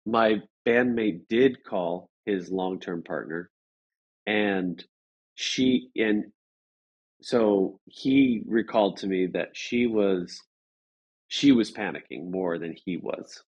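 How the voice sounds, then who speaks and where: Low-voiced man telling a story casually. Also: darkish tone, husky, dull audio. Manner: halting, rambling, with repeated phrases. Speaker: interview guest.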